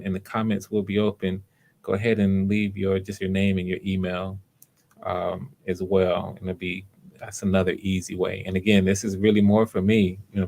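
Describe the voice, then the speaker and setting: A man hosting live online auditions, talking casually to viewers in an unhurried, explanatory way, thinking aloud as he goes. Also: sits low, vocal fry, a little mellow. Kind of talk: casual livestream talk to viewers.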